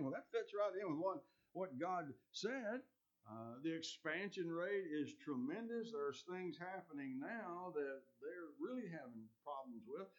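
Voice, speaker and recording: Preacher with a scratchy voice. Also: male voice, a little high, a little mellow. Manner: earnest, with many restarts and ums. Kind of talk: sermon. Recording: dull lo-fi recording.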